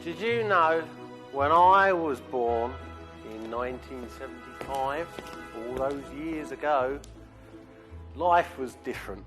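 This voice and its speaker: A man's voice: medium pitch, slow, fairly bright tone, soft background music. An actor playing a chatty male prisoner who boasts about his car-stealing past to a guard. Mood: wistful.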